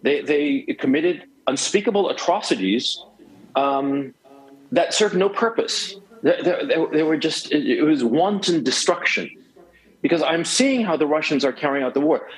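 A man's voice: a little high, expressive, normal speed, poor audio. A man in his forties from Chile, a guest talking emphatically on a cell phone, rambling with many restarts. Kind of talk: phone interview.

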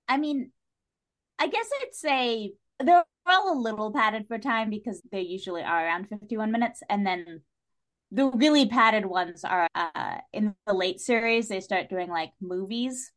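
A woman speaking as a podcast guest in a casual, opinionated discussion, with an animated, wry manner. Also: mid-range voice, a little slow.

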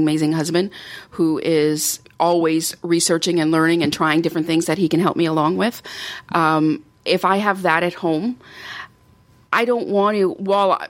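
Chatty woman with a lowish voice. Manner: chatty, animated storytelling with laughs. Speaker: podcast guest. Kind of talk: casual chat.

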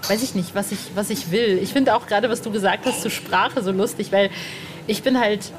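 A woman's voice: mid-range voice, a little fast. A woman interviewing a guest in a casual chat, informal and eagerly agreeing, with an enthusiastic manner. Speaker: podcast interviewer. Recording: clear background noise, ambient sound.

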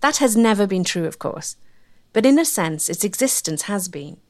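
A woman giving measured, scripted narration for a history documentary. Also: mid-range voice, expressive, a little mellow. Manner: measured, articulate.

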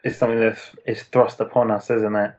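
A man hosting an interview, asking hesitant, soft-spoken questions. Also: on the low side, flat delivery, brisk. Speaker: interviewer host. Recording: dull lo-fi call audio.

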